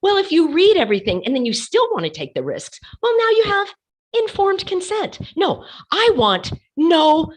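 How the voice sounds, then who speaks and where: A woman hosting an online commentary show, hoarse from laryngitis, talking passionately and hammering her points with repeated words. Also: very high voice, big pitch swings, normal speed. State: losing her voice. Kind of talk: casual commentary while sharing her screen.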